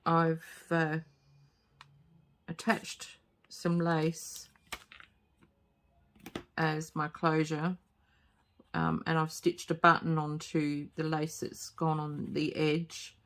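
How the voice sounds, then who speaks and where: Slow, croaky woman crafting at home. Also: deep voice, monotone, slight background noise. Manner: hesitant, many fillers, soft-spoken. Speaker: crafting channel host. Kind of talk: casual craft tutorial. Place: home craft table, late at night.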